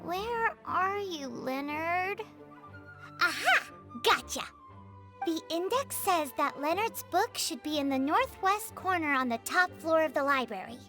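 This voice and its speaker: A woman voicing a tiny, chirpy, excitable childlike fairy companion in a video game, with a squeaky voice. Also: unhurried. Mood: excited. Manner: excitable, exclaiming, refers to self in third person. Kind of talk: video game character acting. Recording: music behind the voice.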